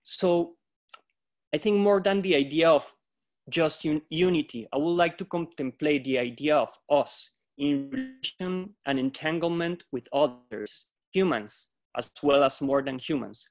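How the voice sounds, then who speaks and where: A man from Colombia, a yoga teacher, giving a reflective, measured talk on a video-call panel. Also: fairly high pitch, expressive. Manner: reflective, measured, quotes philosophers.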